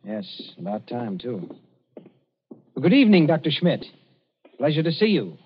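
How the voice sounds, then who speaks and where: An actor playing an alert, deferential young colonial aide in an old-time radio drama. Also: male voice, medium pitch, big pitch swings, measured pace. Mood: brisk. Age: young adult. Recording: muffled, slightly noisy old radio recording.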